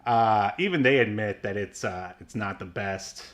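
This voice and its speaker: A man's voice: on the low side, moderate pace, fairly bright tone. Rambling, filler-heavy man co-hosting. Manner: rambling, many fillers and restarts. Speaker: podcast co-host. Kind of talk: casual chat.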